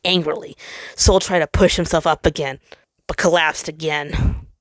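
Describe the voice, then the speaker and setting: Woman, lowish voice, dramatic story narration, close dry recording. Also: steady tone, normal speed, no echo. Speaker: fan fiction reader, narrator.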